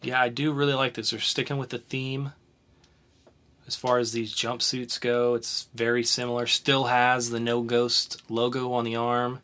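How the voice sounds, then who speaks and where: A young man riffs through the week's news as a podcast co-host, his voice bright and forward. He talks loosely, with ums and restarts, and rushes ahead when something excites him. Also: mid-range voice. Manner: chatty, with fillers and bursts of speed. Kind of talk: casual news chat.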